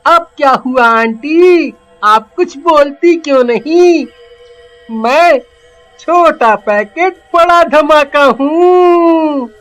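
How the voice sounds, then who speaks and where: A woman voicing a cheeky, plucky poor young village boy in a cartoon, with earnest, drawn-out delivery. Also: high-pitched. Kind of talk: Hindi cartoon voice acting.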